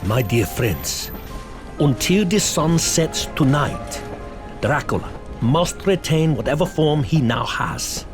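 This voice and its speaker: An actor playing a commanding, urgent professor who hunts vampires, grave and emphatic, in a horror audio drama. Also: male voice, mid-range voice.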